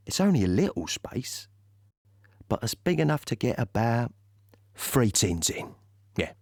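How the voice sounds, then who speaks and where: A cheery english army lorry driver, slow rambling yarn, very up and down, colloquial. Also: male voice, fairly low pitch, no room sound, clean studio sound. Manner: chatty, colloquial, rambling, chuckling. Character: cheerful working-class British army truck driver, simple pleasures. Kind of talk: audio play monologue, chatty yarn.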